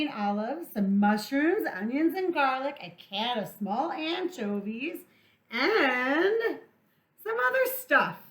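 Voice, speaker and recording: A woman reading a storybook aloud to young children as a storytime teacher, theatrical and deliberate, drawing out words for emphasis. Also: high-pitched, fairly bright tone. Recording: echoey room sound.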